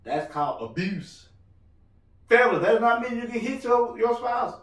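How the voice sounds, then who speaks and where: A married man co-hosting a casual podcast chat, loud-voiced, joking and rambling as he talks. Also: very high-pitched, lively intonation, moderate pace. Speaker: podcast co-host. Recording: roomy room, mic at a distance.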